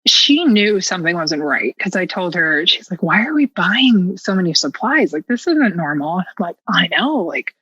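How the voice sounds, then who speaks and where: An American woman tells a personal story in a lowish, forceful voice. She is candid and sarcastic, slipping into quoted dialogue as she goes. The sound is close and dry but slightly dull. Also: big pitch swings, normal speed, hardly any pauses, slightly rough, no room sound. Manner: candid, sarcastic, self-mocking, acting out dialogue. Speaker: podcast guest.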